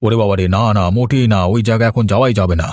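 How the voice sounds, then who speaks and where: A man playing a timid, superstitious middle-aged village father in a horror cartoon, fond of his daughter and talking in a quick, fretful, homely way. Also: fairly low pitch, a little mellow, no echo, clean studio sound, close to an everyday voice. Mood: nervous. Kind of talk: animated horror story acting.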